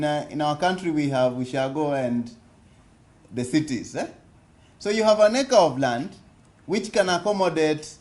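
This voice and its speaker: Slow-talking Kenyan man, high voice. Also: darkish tone. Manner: asks the audience questions, repeats points slowly. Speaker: speaker at a business forum. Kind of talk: interactive seminar talk. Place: conference hall.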